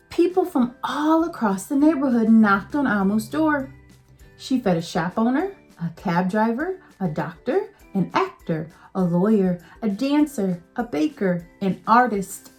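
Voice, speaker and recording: Slow, expressive woman reading aloud. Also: mid-range voice. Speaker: teacher. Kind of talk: children's picture book read-aloud.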